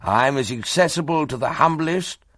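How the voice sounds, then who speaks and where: A man voicing a pompous, commanding elderly press baron who speaks in a booming, imperious way. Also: expressive, moderate pace, fairly bright tone, dry recording, studio quality.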